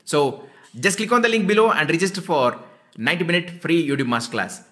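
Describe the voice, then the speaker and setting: A man's voice: fairly high pitch, a little fast, fairly bright tone. A man in his thirties with an Indian accent, giving a scripted promotional talk as a coach. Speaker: YouTube coach. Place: small indoor room.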